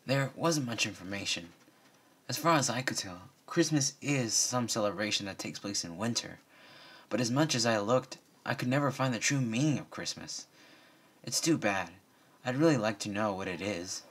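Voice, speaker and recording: A man's voice: lowish voice, lively intonation, normal speed, fairly bright tone, breathy edge, pitched above the performer's own voice. A man voicing an earnest, insistent young female unicorn pony with a suspicious streak, for a story reading.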